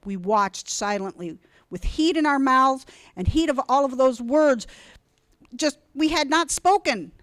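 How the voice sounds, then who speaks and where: A woman reads a children's story aloud with deliberate, dramatic phrasing. Her voice is fairly high and very lively in pitch, and she takes her time with an unhurried pace. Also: no room sound. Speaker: storybook reader. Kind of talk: expressive children's read-aloud.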